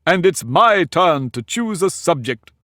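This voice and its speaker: A man voicing Humpty Dumpty, pompous and high. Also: big pitch swings, unhurried, sharp tone, no room sound, clean studio sound, full character voice. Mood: lordly. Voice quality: boastful, argumentative, grand. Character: pompous, touchy talking egg, male. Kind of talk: audiobook character reading.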